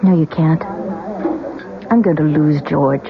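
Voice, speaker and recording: An actress playing an anxious, fragile young wife who longs for a child, in radio drama style. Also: female voice, low voice, slow pace, creaky, over music, heavily acted. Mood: downcast. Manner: pleading, fragile. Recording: muffled, noisy old radio broadcast.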